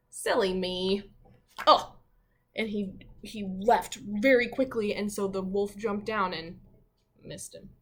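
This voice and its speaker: A woman teacher reading a picture book aloud to children, expressively, with dramatic pauses and her own thoughts along the way. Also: a little high. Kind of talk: children's read-aloud with commentary.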